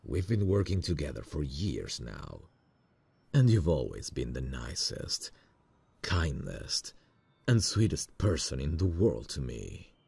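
A man playing a nervous, lovestruck boss in an intimate romantic ASMR roleplay, speaking hesitantly and tenderly in a husky voice. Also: sing-song, no room sound, heavily acted. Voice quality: very deep, gravelly, husky. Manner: hesitant, slow, soft-hearted. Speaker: voice actor in romantic audio roleplay.

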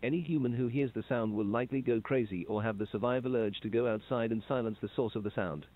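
A man reading scripted warning instructions in a flat, measured way. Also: mid-range voice. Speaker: narrator of a horror video. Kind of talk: calm scripted instructional narration. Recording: tinny phone-like narration.

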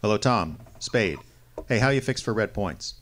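An actor playing a tough, wry, unflappable private detective with clipped, sardonic delivery in an old-time radio drama. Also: male voice, fairly low pitch, lively intonation, moderate pace, background noise, natural voice. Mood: businesslike. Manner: clipped, hard-boiled, sardonic.

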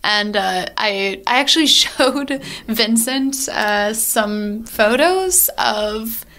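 High-voiced American woman, wry comedian. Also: lively intonation, very slow, sharp tone. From Texas, United States. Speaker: comedian guest. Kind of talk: casual comedy chat. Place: radio studio, live broadcast.

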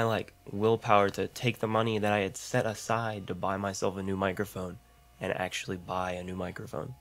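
Brief: young male gaming YouTuber, low deep voice, slightly rough throat, rambling self-deprecating chatter, condenser mic at home. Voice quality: deep voice, mouth clicks. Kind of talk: casual gameplay commentary. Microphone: large condenser studio mic.

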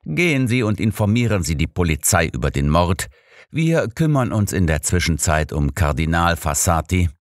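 A man's voice: deep voice, very animated, moderate pace, dry recording. A man voicing an imperious old master detective in an audiobook, commanding, dry and impatient.

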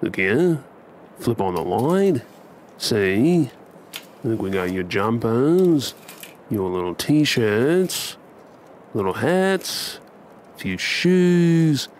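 A man's voice: medium pitch, big pitch swings, very slow, long pauses, darkish tone, a little hiss or hum. A man with an Australian accent playing a tender, playful husband and dad in an intimate ASMR role play, affectionate and coaxing. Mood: reassuring.

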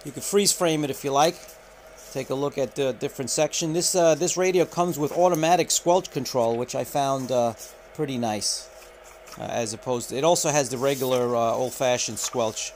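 Man, middle pitch, unboxing walkthrough, filler-heavy, unhurried, camera mic, faint background hiss. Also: darkish tone. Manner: unhurried, many filler pauses. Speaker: radio reviewer. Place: indoor room at a table.

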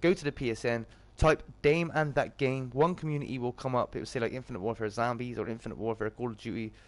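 A British man hosts a casual livestream in a mid-range voice with a slight morning croak. He talks to his viewers like mates, with chummy greetings and informal, off-the-cuff chat. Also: mid-range voice, quick pace. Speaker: live streamer and host.

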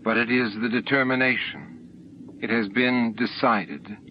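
A man voicing a gentle, affectionate and sorrowful young female alien in an audiobook, speaking formally. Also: medium pitch, animated, unhurried, close to an everyday voice. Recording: dull noisy audiobook recording.

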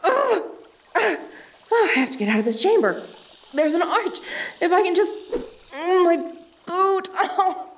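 A woman's voice: extremely high pitch, lively intonation, a little slow, pauses often, full character voice. An actress playing a determined young woman treasure hunter in an audio drama, talking to herself in a dramatic way. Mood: panicked, struggling. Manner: breathy exclamations, self-coaxing, dramatic. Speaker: audio roleplay voice actor.

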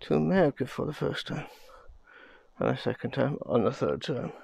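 A man in his thirties talking to a handheld phone camera outdoors in a pine forest, in a slow, muttering casual vlog and swearing under his breath. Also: medium pitch, expressive, vocal fry, darkish tone, slightly rough. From Scotland. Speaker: vlogger.

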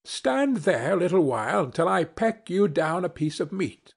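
A man's voice: sits high, big pitch swings, measured pace, gravelly, husky, pitched above the performer's own voice, heavily acted. A man voicing a small female sparrow in a storybook, bold, loyal and vengeful, with an emphatic delivery. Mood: helpful, brisk.

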